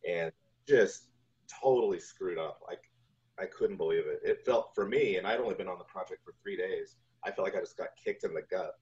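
Deep-voiced man telling stories, dull audio. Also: a little fast, darkish tone. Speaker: guest, video game composer. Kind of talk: casual interview storytelling. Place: home room on a video call. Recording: dull lo-fi video call audio.

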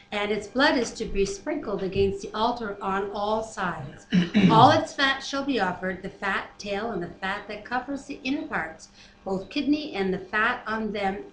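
A middle-aged woman reading scripture aloud with casual commentary at home, picked up by a computer webcam microphone. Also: medium pitch. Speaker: Bible reader and discussion co-host. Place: living room at home. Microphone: computer webcam mic at desk distance. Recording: roomy, echoey home webcam, faint background noise.

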